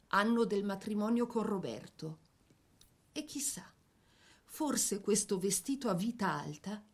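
A woman narrating a scripted biographical drama in an expressive, measured storytelling manner. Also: a little high.

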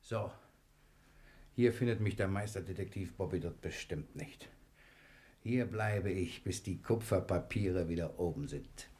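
An actor playing an easygoing, wily adventurer hiding out in jail, laid-back, chummy and wry, in 1960s German radio crime drama style. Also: male voice, low voice, unhurried, mellow. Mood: weary, contented.

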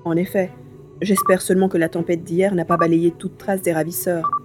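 A woman playing a determined, curious young hero in a science fiction audio drama, expressive and thinking aloud. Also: fairly low pitch, moderate pace, over loud music, natural voice.

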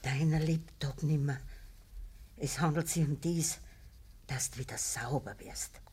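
An actress playing an ailing old peasant mother in a radio play, with a breathy, frail voice, a Bavarian accent and a halting, pleading delivery. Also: sits low, mellow, heavily acted. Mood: weak, worried. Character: gravely ill old peasant mother, pleading, gentle, pious. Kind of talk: naturalistic dialect radio drama acting.

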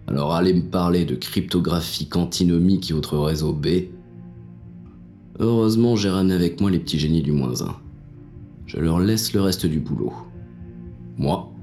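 A very low, dark-toned actor's take on a cynical male cop telling his story in an audio drama. He is irreverent and colloquial, with a sardonic edge, over faint music. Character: jaded, cynical male police inspector, gruff and irreverent. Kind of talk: first-person noir audio fiction narration.

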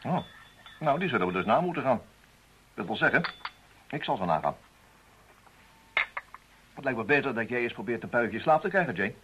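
An actor playing a brisk, dogged, wry investigator in a radio drama. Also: male voice, medium pitch, expressive, fairly bright tone, close to an everyday voice. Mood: considerate, wry. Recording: dull, faintly noisy old radio recording.

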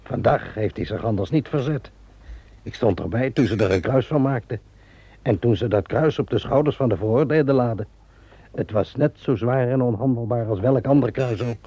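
An actor playing a blunt, dismissive pond keeper in a radio play. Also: male voice, medium pitch, normal speed, mellow, no echo. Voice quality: gravelly, husky. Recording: dull lo-fi recording.

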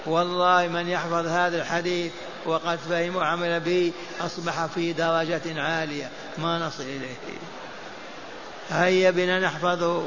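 Older male mosque teacher, repeats and chants lines, flat high delivery, faint hiss. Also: normal speed. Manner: chants and repeats texts for memorizing. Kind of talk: mosque lesson on hadith. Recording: dull lo-fi lecture recording.

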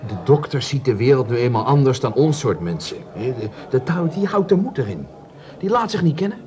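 A man acting in a radio play as a down-at-heel small-time crook, an eager, deferential follower who gets excitable. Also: medium pitch, fairly quick, lightly acted. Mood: admiring, defensive.

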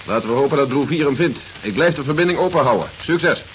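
A man's voice: mid-range voice, lively intonation, fast talker, natural voice. Man acting a terse army general, dull old recording. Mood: brisk, commanding. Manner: terse, authoritative. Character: weary, commanding male army general on alert. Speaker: actor in a radio play. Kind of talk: 1970s science fiction radio drama acting. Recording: dull 1970s radio recording, faint hiss.

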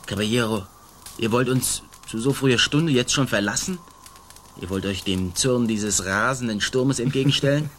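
An actor playing a boastful, swaggering Spanish colonial army sergeant in a theatrical, declamatory radio play style. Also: male voice, mid-range voice, moderate pace, fairly bright tone, a little hiss or hum, close to an everyday voice. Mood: courteous, grandiose.

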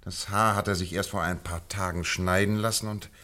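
Shrewd, lecturing detective in a radio play, very deep voice, teasing. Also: male voice, steady tone, moderate pace, bright, forceful tone. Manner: teasing, lecturing, theatrical emphasis. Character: shrewd lecturing male detective, middle-aged. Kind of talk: 1950s radio drama acting.

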